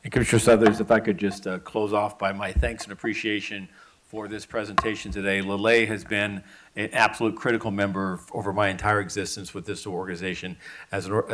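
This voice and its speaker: A man's voice: lowish voice, a bit bright. A man, the police chief, giving formal remarks at a public meeting with frequent restarts.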